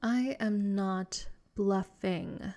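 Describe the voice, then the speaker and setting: Slow-talking, smug, lovestruck vampire girl played by a woman. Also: mid-range voice, fairly even intonation, dark tone. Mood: defiant. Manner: haughty, doting, teasing, drawn-out delivery. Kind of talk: comedic roleplay audio drama.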